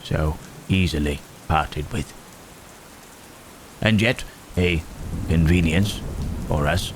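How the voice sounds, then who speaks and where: Male actor as ancient gentle monster, deep bass, very slow deliberate phrasing, musing murmurs, brooding, ASMR audio drama. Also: background noise, full character voice. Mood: wry, reassuring. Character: Frankenstein's monster, gentle, brooding, long-lived male creature. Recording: steady rain and thunder sound effects. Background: rain and thunderstorm ambience.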